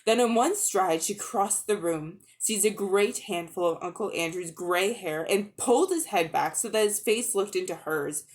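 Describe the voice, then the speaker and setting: A woman reading a children's story aloud in an expressive, scripted narrator's style. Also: medium pitch, moderate pace, hardly any pauses.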